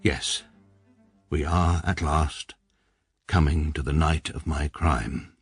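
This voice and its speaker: Pompous British barrister, very low voice, husky, dry close sound. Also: male voice, slow, no echo, soft background music, heavily acted. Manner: precise, dry, pedantic. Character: pompous middle-aged barrister narrating his confession. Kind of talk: first-person radio narration.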